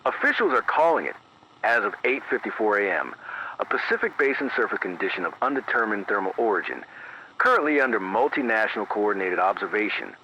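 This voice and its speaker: A man reading a scripted emergency radio news bulletin in a measured, deliberate, grave manner, through an AM radio filter. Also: on the low side, very animated, pushed and bright. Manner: measured, deliberate, grave, clipped official phrasing. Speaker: radio news anchor in a fictional emergency broadcast. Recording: muffled AM radio sound, background static.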